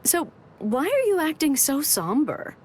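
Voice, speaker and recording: A woman's voice: sits high, huge pitch swings, a little slow, darkish tone, exaggerated voice. A woman voicing a confident, teasing and caring big-sister character in an anime game, coaxing and candid.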